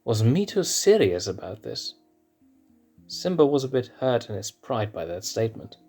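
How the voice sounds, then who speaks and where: A man reading a fan fiction story aloud in slow, measured narration. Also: mid-range voice, very animated, dark tone, faint music behind.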